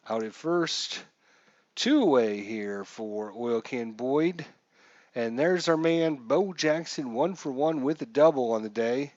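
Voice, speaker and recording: A man streaming casual play-by-play commentary of a baseball game, with announcer-like calls and pauses. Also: on the high side, dry recording, muffled recording. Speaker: live streamer, host.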